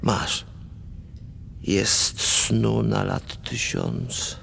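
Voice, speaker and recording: An actor playing a morbid, romantic Polish count of fifty in a radio play of dramatic verse, brooding and theatrical. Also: male voice, fairly low pitch, steady tone, extremely slow, gravelly, fairly bright tone, a little hiss or hum, exaggerated voice. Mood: grim, brooding.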